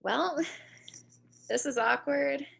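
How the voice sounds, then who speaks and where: Actress as candid young woman, somewhat high voice, guarded, frank, probing, naturalistic play reading. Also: takes it slowly, creaky, a bit bright, exaggerated voice. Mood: guarded, awkward. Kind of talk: play table read, naturalistic dialogue.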